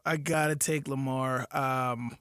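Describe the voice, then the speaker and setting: A man co-hosting a sports talk radio show, talking with excitement and thinking aloud. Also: mid-range voice, slow pace, clean tone, no echo, clean studio sound. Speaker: fill-in co-host.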